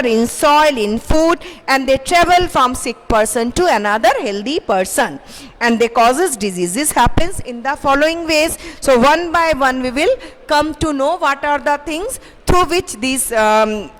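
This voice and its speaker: Halting Bengali-accented woman teacher. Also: high voice. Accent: Bengali English. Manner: halting, many fillers, asks pupils questions. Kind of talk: online class lecture to children. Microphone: microphone in front of her. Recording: roomy reverb, faint hiss.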